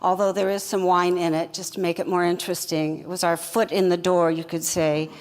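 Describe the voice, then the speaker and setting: An older woman, a company co-founder, telling her story in an interview in a measured, plainspoken way. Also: lowish voice, level tone, in a large hall.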